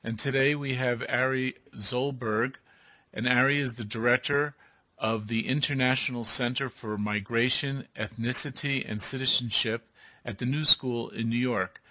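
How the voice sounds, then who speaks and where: A man hosting an interview, asking measured questions. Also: medium pitch, steady tone, bright, forceful tone, no echo, low-quality recording. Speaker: interviewer, host.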